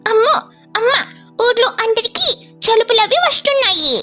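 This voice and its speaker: A woman's voice: extremely high pitch, normal speed, pushed and bright, soft background music, pitched above the performer's own voice. A woman voicing an eager, helpful little child sparrow in a thin childlike voice for a cartoon story. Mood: chatty.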